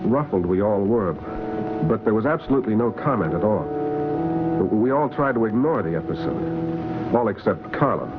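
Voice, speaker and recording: An actor playing an anxious American man who recounts a fatal evening in his own defense, haltingly and earnestly, in old-time radio drama style. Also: fairly low pitch, animated, close to an everyday voice. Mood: earnest testimony. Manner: halting, repeating words in earnest. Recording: muffled, music-backed old radio recording.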